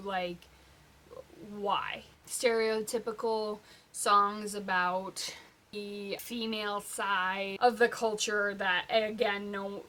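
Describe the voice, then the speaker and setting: A young woman hosting a pop culture vlog, chatting casually in an animated, halting, informal way with emphatic asides. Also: mid-range voice, rough recording. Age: young adult. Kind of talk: casual opinionated vlog chat.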